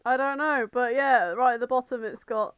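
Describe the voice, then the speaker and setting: A woman's voice: on the high side, fairly even intonation, normal speed, fairly bright tone, smooth, no room sound. Woman on a comedy podcast, sweary n irreverent banter, dry close sound. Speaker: podcast co-host. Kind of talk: casual comedic chat.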